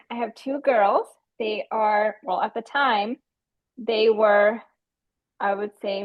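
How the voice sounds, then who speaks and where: An American woman around her thirties or forties, telling an anecdote casually as a podcast guest, on slightly dull audio. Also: fairly high pitch. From United States.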